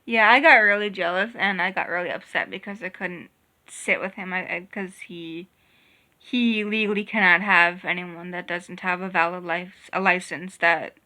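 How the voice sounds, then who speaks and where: A woman's voice: fairly low pitch, fairly even intonation, moderate pace, bright, forceful tone. A young woman chatting casually in a couple's vlog, rambling along with restarts. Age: young adult. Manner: rambling, many restarts and fillers. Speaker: vlogger. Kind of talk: casual chat.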